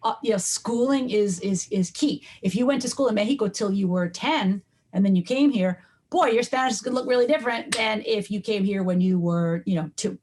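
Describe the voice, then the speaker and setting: A woman's voice: mid-range voice, fairly quick, few pauses, darkish tone, a little hiss or hum. A woman linguistics professor answering interview questions in a firm, lecturing way.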